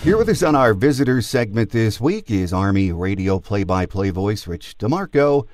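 A man's voice: lowish voice, very animated, moderate pace, over music. An older man with an American accent hosting a sports podcast, moving between scripted monologue and interview. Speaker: podcast host.

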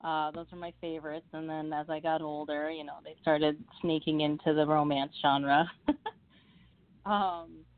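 A woman's voice: low voice, level tone, unhurried. A young woman from the Midwest, an author being interviewed, talking in a chatty way with frequent laughs and fillers. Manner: chatty, laughs often, many fillers and restarts. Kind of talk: casual interview chat. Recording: phone-like narrow sound.

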